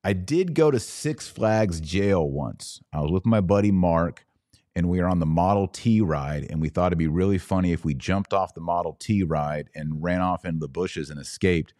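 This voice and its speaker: A low-pitched American man hosts a live commentary podcast in a casual, conversational way. He stretches key phrases for emphasis and likes to pose rhetorical questions. The recording is dry, with very little room sound. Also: moderate pace, mellow, dry recording. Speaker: podcast host.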